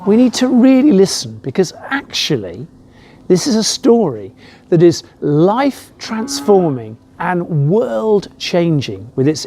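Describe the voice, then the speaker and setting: A man giving a reflective sermon as a rector, speaking in a measured way with rhetorical questions. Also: on the high side, mellow, a little hiss or hum. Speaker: rector preaching a Christmas message.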